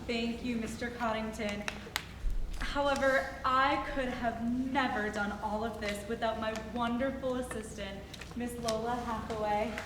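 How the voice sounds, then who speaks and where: A gracious, effusive woman acted by a stage actress speaks high and slowly. She sounds far from the microphone in a big reverberant hall with clear background noise. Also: steady tone, close to an everyday voice. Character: gracious, effusive female community liaison. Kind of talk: staged musical reading.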